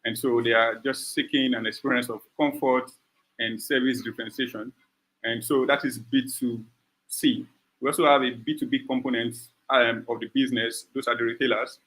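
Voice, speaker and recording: A man's voice: medium pitch, fairly even intonation, normal speed, fairly bright tone, low-quality recording. A man answering judges' questions as a startup founder on an online call, speaking unscripted.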